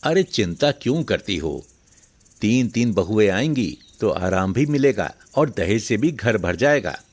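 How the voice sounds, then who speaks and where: A man playing a callous, greedy rich farmer, gruff and dismissive, with a husky voice, in a moral story cartoon. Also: lowish voice, very animated, measured pace, heavily acted. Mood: demanding.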